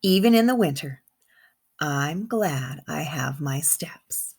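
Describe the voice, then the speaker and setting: A woman kindergarten teacher reading a picture book aloud to young children in an expressive way, with simple asides and questions. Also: low voice, breathy edge. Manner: animated, simple wording, pauses to comment and question.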